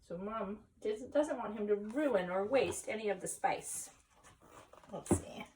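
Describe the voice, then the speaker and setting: A woman reading a children's story aloud expressively, pausing for explanatory asides and questions to young listeners. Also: mid-range voice, far from the mic, background noise. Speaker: story reader.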